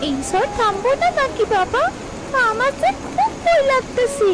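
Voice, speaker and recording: A woman's voice: very high voice, sing-song, normal speed, very noisy. A woman voicing a small, frightened farmer's daughter, in a childlike, pleading way for a cartoon. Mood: scared. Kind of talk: cartoon voice acting. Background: background music.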